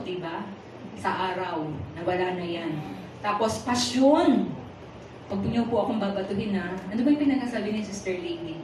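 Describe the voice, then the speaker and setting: Echoey, far-off Filipino woman preacher. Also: medium pitch. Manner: animated and teasing, puts rhetorical questions to the congregation. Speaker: preacher at a Sunday worship service. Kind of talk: conversational sermon mixing Tagalog and English. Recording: echoey hall from far off, noisy.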